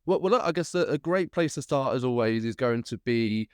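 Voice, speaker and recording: A man's voice: mid-range voice, lively intonation, a little fast, darkish tone, dry recording. Guy hosting a podcast, chatty and upbeat, lots of ums and restarts, dry close sound. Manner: enthusiastic, with frequent fillers and restarts. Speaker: podcast host. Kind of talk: casual interview chat.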